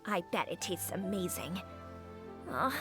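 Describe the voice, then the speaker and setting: A woman's voice: lowish voice, expressive, unhurried, over music. A woman voicing a bubbly, eager young restaurant cook in an anime video game, chatty and enthusiastic. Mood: delighted. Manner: enthusiastic, chatty, bright-spirited.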